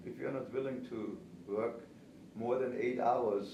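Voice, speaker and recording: A man's voice: medium pitch, steady tone, unhurried, gravelly, highs cut off. A man in his seventies with an Austrian accent, a native German speaker, talking conversationally as a veteran pastry instructor answering interview questions. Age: 70s. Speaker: pastry chef and cake instructor. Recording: distant, roomy, noisy recording.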